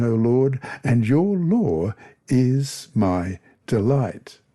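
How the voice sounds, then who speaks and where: A man reads a scripted devotional lesson and Bible verses aloud in a measured, expressive way. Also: medium pitch, breathy edge. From Australia.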